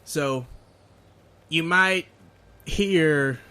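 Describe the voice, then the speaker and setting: Guy from texas narrating his gameplay while sick, kinda nasal, bright voice, chatty. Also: on the high side, extremely slow, clear, resonant tone. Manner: rambling, chatty. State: sick, nasal, slight cough. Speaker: gaming video host. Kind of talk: casual let's play commentary.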